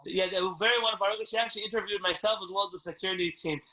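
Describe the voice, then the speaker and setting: High-pitched, quick-talking bright male rabbi. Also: fairly even intonation. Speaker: rabbi leading a community address. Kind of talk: question-and-answer address to a community. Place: indoors on a Zoom video call. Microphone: video call microphone. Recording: low-quality video call audio.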